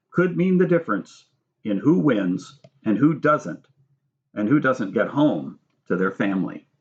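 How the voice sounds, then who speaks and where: A middle-aged American man reads his own nonfiction book aloud in a measured, instructive manner, adding spoken asides. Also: medium pitch, dull audio. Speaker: author reading own book. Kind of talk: audiobook-style reading of nonfiction with spoken asides.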